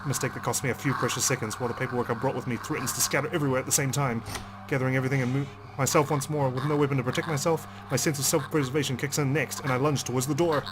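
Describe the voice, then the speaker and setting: A man's voice: mid-range voice, steady tone, rapid-fire, few pauses, noisy background. A man hosting a game playthrough, reading narration aloud expressively and dramatically. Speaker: gaming video host. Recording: game music behind the voice.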